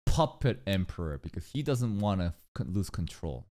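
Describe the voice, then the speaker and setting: A man's voice: sits low, moderate pace. A young man streaming live reactions to an anime, talking back at the screen and swearing freely. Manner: animated, swears a lot, talks back at the screen. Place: small room indoors. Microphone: desk mic on arm.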